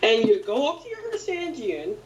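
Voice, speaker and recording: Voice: mid-range voice, huge pitch swings, moderate pace, highs cut off, crowd noise. A person giving a casual demonstration, repeating words and swearing along the way. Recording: echoey, distant mic, clear noise.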